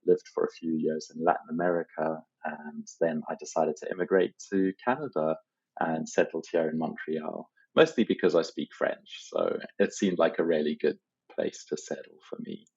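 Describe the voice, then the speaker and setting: Deep-voiced man from Zimbabwe, lo-fi. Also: steady tone, normal speed, darkish tone, breathy edge. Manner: earnest, reflective storytelling. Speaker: podcast guest. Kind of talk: casual interview conversation.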